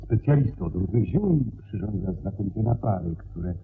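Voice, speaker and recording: An actor playing a genial, courteous gentleman of the manor in a Polish radio play, formal and hospitable. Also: fairly low pitch, expressive, moderate pace, creaky. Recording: narrow phone-like band, hissy old radio.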